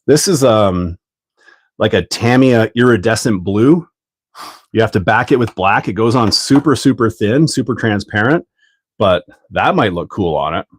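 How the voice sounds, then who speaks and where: A man from Canada with a lowish, congested voice chats loosely to his viewers, trailing into ums and little laughs. He sounds stuffed up from a cold. The sound is dry and close. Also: moderate pace, breathy edge, dry recording. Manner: rambling, relaxed, full of ums and laughs. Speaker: livestream host. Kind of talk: casual livestream chat while building.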